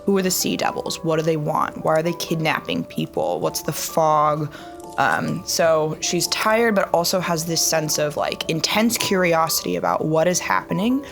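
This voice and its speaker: A woman's voice: sits low, expressive, moderate pace, gravelly, over music. A young woman in a tabletop game, reflectively describing her character's thoughts with frequent fillers. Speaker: player.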